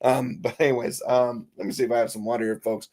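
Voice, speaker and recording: Man, hoarse raspy voice, casual live-stream host, chatty, says folks, mid pitch. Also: flat delivery, fast, darkish tone. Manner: chatty, curses casually, calls viewers folks. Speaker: boxing commentary streamer. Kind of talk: casual live-stream commentary, reading viewer comments.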